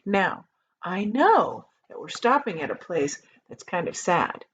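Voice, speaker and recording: A woman reading a children's book aloud like a teacher, in an expressive storytelling style. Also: on the low side, measured pace, a little hiss or hum. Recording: slightly dull, lo-fi sound.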